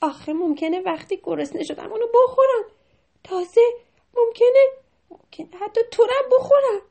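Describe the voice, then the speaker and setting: A woman acts out a small mouse in a children's story. It speaks in a raised, very high voice. It is curious, jumpy and easily worried. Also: lively intonation, a little mellow.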